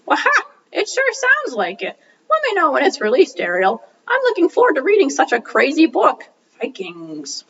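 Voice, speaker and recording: A high-pitched, inquisitive puppet sidekick played by a woman chats in a puppet show. The character is excitable and exclamatory, heard a little way from the mic in a dull home recording. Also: normal speed, far from the mic, heavily acted. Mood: excited.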